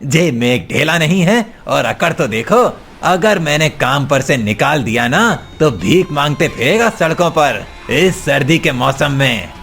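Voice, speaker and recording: A greedy cartoon villain, acted by a man, rich, smug and abusive. He sneers and scolds in a fairly high voice. Faint music sits behind him. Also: lively intonation, measured pace, creaky, few pauses, a bit bright, heavily acted. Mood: abusive, threatening.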